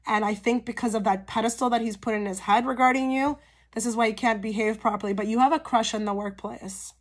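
A woman giving a casual pick-a-card tarot reading straight to her viewers, her voice a little hoarse and cracky from a cold, often checking in with "okay?". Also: fairly high pitch, fast talker. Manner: chatty, frequent "okay?" check-ins with viewers. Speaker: tarot reader.

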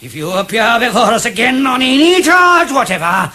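An actor with an English accent playing a pompous, fussy, biased judge in a radio drama. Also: male voice, very high voice, big pitch swings, moderate pace, pushed and bright, husky, exaggerated voice. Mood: stern, gleeful. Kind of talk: 1970s British radio drama acting.

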